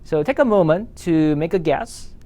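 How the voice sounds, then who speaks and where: A man from Singapore with a Singaporean accent, presenting a prepared course lecture as a university instructor in a measured, explanatory way. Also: a little high, mellow.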